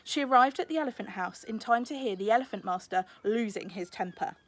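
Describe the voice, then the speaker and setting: A British woman, a teacher, reading a children's story aloud in expressive narration. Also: medium pitch, a little fast, no echo.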